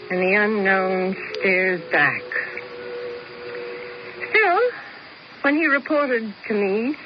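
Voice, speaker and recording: An actress playing a cool, commanding schemer who narrates in a deliberate, theatrical 1970s radio drama style. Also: female voice, mid-range voice. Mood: brooding. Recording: dull, noisy 1970s radio recording.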